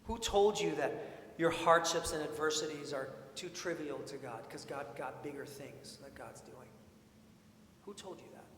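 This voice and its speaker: A man preaching a sermon in an informal, expressive way, with storytelling and questions repeated for emphasis. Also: a little high, a little slow. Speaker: preacher, priest. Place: large indoor church sanctuary. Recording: echoey church hall, long reverb, faint noise.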